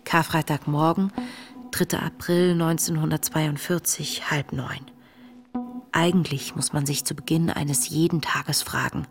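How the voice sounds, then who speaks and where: An actress playing an earnest, searching woman in her late twenties, reading her wartime diary in a breathy, introspective, measured way for a radio play. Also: sits low. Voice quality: breathy, often dropping toward a whisper. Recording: music under the voice.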